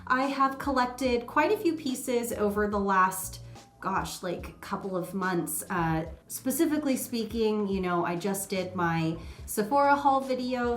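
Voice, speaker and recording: Woman beauty vlogger, nasal with a cold, loose chatty delivery, echoey room. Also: mid-range voice. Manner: chatty and rambling, many ums and uhs. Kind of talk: casual makeup vlog chat.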